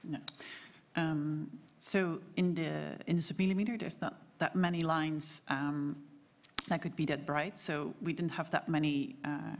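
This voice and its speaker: A woman astronomer on a panel, answering press questions haltingly, with many fillers and restarts. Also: low-pitched, fairly even intonation.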